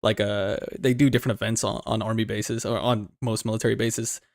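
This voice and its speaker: A man's voice: lowish voice, flat delivery, a little fast, no echo, clean studio sound. A young man from the United States co-hosting a gaming podcast in a laid-back, chatty way, laughing often. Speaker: podcast co-host. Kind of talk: casual gaming podcast chat.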